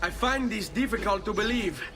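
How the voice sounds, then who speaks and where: A headstrong young Italian nobleman from a dramatic game, curt and protective. His voice sits high for a man and carries an Italian accent, with music playing behind it. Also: normal speed. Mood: skeptical, defiant. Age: young adult. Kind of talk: dramatic video game acting.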